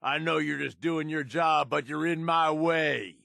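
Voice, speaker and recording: An actor playing a gruff, scheming male raider lieutenant in a video game, with a crude, sardonic tough-guy drawl. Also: fairly high pitch, steady tone, a little slow, pushed and bright, no echo, clean studio sound, exaggerated voice. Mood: combat barks. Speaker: companion character. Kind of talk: video game combat and companion barks.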